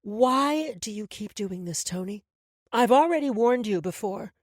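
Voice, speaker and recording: A woman voicing a calm, cruel woman who toys with her captives, speaking softly and with quiet menace. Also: mid-range voice, unhurried, dry recording, clean studio sound. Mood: cold, menacing. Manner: soft, coolly menacing.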